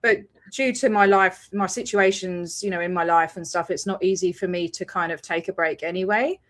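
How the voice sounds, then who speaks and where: A woman's voice: fairly low pitch, moderate pace, a bit bright. An Australian woman hosting a casual video call chat, talking freely with many fillers and frequent laughs. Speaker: host.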